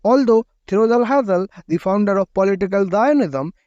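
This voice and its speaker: A man with a high voice reads a history explainer in a steady, measured way, letting his phrases fall at the ends. His voice is recorded close and dry, with a slightly dull, muffled tone. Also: no room sound. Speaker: narrator. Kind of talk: scripted documentary narration.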